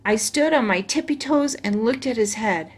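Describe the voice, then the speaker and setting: A woman's voice: fairly high pitch, expressive, normal speed, breathy edge. A woman reading a children's storybook aloud as a storyteller.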